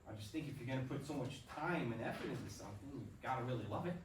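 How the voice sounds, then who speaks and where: A man's voice: medium pitch, expressive, very fast, close to an everyday voice. A man acting a modest, hopeful young ballplayer in a staged play reading. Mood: hopeful, sincere. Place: theatre staged reading, recorded from off. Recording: echoey room, distant mic, background noise.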